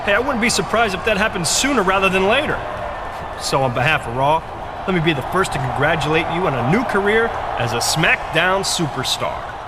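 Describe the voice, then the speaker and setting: Slick wrestling exec, highish lively voice, buttering someone up in a game scene. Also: male voice, brisk, heavy background noise. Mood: persuasive. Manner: persuasive, congratulatory. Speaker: representative of a rival brand. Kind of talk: video game cutscene dialogue.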